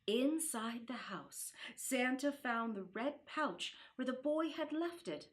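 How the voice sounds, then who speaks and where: A woman storyteller reading a children's storybook expressively, with dramatic, deliberate phrasing that dips into hushed tones. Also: high-pitched, animated.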